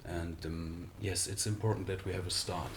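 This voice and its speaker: A man from Germany with a German accent, speaking English as a second language as an interview guest, halting with frequent pauses and fillers. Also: sits low, fairly even intonation, creaky, background noise. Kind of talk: conversational interview.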